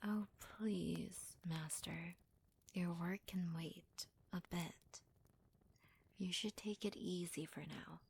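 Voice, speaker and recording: A woman playing a devoted, deferential servant in an ASMR roleplay, with a soft, breathy, half-whispered voice. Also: fairly low pitch, steady tone, takes it slowly, a little hiss or hum. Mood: concerned, soothing. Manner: gentle, deferential, hesitant. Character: devoted, doting young female servant to her master.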